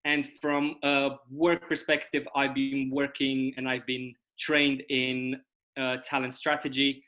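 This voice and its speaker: A man's voice: medium pitch, level tone, slow, bright, forceful tone. A young man from Romania, speaking as a guest over a video call, explaining at length and often checking in with "right?" and "okay?". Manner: explanatory, uses tag questions like "right?" and "okay?". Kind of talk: casual video-call interview.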